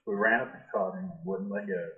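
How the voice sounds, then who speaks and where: Through a thin, phone-like recording with plenty of room echo comes a man's fairly low voice. He reads a rhyming children's story aloud in a measured, sing-song way. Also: big pitch swings. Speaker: firefighter reading a children's book aloud. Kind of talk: rhyming picture-book storytelling.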